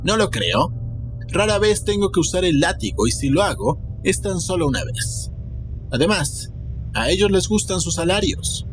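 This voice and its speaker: A sinister, boastful eccentric played by a male narrator speaks in a fairly high voice with deliberate, smug menace. It is an audiobook character, with music clearly playing underneath. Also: very animated. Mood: coolly menacing. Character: Mr. Wilde, sinister, boastful, scheming eccentric man. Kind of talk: dramatic audiobook character reading. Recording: music behind the voice.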